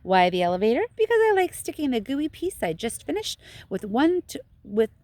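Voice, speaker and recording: Storybook role: brash young girl, played by a woman, chatty and self-satisfied, pitch leaping about excitedly. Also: fairly quick, no echo. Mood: gloating, excited. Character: brash, boastful gum-chewing young girl. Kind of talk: children's storybook read-aloud.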